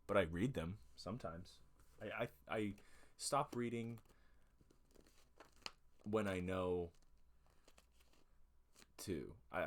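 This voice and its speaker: A man hosting a casual online radio show, chatting haltingly with repeated words and fillers. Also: low voice. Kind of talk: casual chat.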